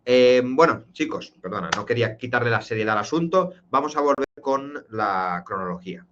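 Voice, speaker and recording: A man, streaming live and recounting a true crime case in an emphatic, dramatic way, slowing down for key points. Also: medium pitch, expressive, fairly bright tone, dull audio, a little hiss or hum.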